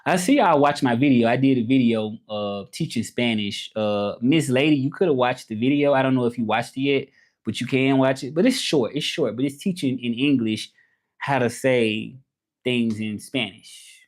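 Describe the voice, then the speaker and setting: A man's voice: medium pitch, moderate pace. A man from the American South with a Southern accent, chatting casually on a livestream as its host, colloquial and often repeating his phrases. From southern United States. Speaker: livestream host, language content creator. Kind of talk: casual livestream chat, switching between English and French.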